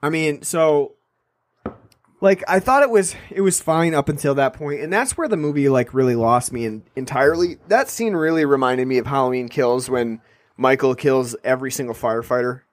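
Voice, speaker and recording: A man's voice: mid-range voice, no room sound. A man co-hosting a podcast, chatting casually and sarcastically about a film, rambling with frequent fillers. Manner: rambling, sarcastic, quick mock voices. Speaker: podcast co-host. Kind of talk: casual film review chat.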